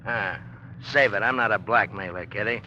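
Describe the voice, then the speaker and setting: A man's voice: on the low side, a little fast, sharp tone. A man playing a cocky, needling, tough-talking newspaperman in a 1940s radio drama, slangy and smug. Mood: hard, dismissive. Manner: slangy, smug, hard-boiled. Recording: dull noisy old radio recording.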